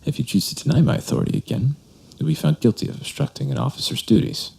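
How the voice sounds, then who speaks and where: An actor playing a corrupt, menacing police detective in a radio drama, with an authoritative, commanding manner. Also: male voice, medium pitch, fast talker, creaky. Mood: stern, threatening. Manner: authoritative, clipped orders. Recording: loud music under the voice.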